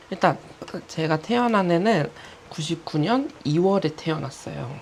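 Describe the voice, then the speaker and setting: A young woman in her twenties sits and tells her life story as a casual vlog. Her voice sits on the lower side, but it rises and falls a great deal. She slips in chatty asides as she goes. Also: slight background noise. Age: 20s. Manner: candid, chatty, animated retelling with quoted asides. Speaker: YouTuber.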